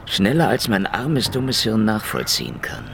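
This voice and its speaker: An actor playing a sardonic, hardboiled Soviet secret police investigator, dry and probing, in a German radio crime drama. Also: male voice, fairly low pitch, huge pitch swings, unhurried, gravelly, over music, heavily acted.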